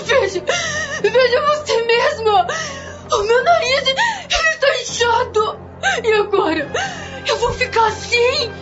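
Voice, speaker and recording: A high, fretful young patient. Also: female voice, unhurried, music in the background. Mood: hysterical despair. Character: vain, impatient, hysterical young female patient. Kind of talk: melodramatic radio soap acting. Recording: dull lo-fi radio drama audio.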